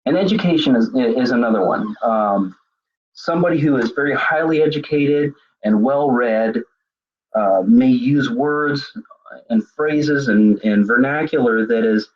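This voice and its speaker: A man's voice: medium pitch, breathy edge, lo-fi sound. A man presenting a web seminar on leadership, speaking conversationally with frequent fillers and self-corrections. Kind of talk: lecture on leadership communication.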